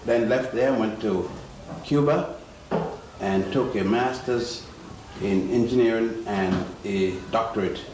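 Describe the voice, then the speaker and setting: Older man, lecturer, low voice, halting pauses, distant mic, roomy hall, noisy. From Ethiopia. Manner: slow, halting, with many pauses and fillers. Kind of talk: public lecture. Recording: echoey hall from far off, noisy.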